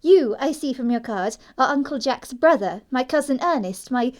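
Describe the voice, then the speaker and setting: A prim young English lady played by a woman in an audio stage comedy. Her voice is fairly high, her manner earnest, artless and pert. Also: lively intonation, moderate pace. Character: prim, naive yet pert young upper-class English girl.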